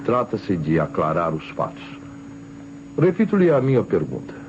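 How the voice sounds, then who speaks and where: A stern, suspicious Brazilian police inspector in a vintage radio crime play. His low voice swings widely as he presses with pointed questions, under heavy noise on a muffled old recording. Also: huge pitch swings, moderate pace, heavily acted. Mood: stern, accusing. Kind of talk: old Brazilian radio detective drama acting.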